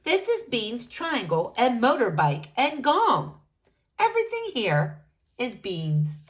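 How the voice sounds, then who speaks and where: A woman reading a children's storybook aloud, narrating deliberately and expressively for young listeners. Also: fairly high pitch, huge pitch swings.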